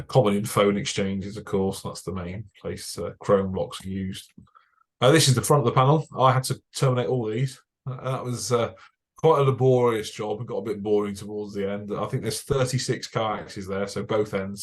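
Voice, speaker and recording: Chatty British engineer, low voice. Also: male voice. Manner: chatty, technical, frequent "uh" fillers, dry jokes. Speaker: broadcast engineer giving a club talk. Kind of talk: casual presentation over slides.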